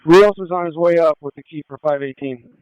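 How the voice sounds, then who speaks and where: Male officer, procedural radio updates, clear voice a touch high, dry dull scanner audio. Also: brisk, no room sound. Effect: police radio. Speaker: police officer.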